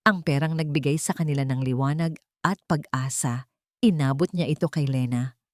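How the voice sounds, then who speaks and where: Casting: female storyteller for dramatic romance narration, notably low voice, close dry recording. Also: normal speed, no room sound.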